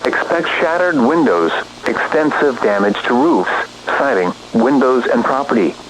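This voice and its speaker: A man's synthetic, formal voice reading a scripted emergency weather warning in a flat, robotic alert-system delivery. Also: mid-range voice, unhurried, slight background noise, rough recording.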